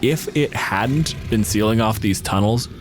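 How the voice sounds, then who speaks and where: A man's voice: on the low side, unhurried, noisy background. A man improvising narration as game master, casual and wry, swearing freely.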